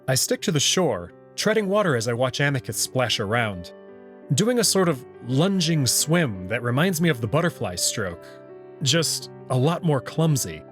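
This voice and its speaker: A man's voice: medium pitch, big pitch swings, moderate pace, soft background music. A man reading first-person story narration aloud in a scripted visual novel style.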